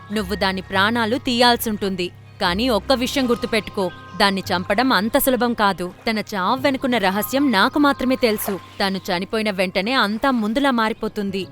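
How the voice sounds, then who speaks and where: A woman's voice: medium pitch, fairly bright tone, natural voice. A woman voicing a wise magical vulture who guides and cautions, in a children's cartoon.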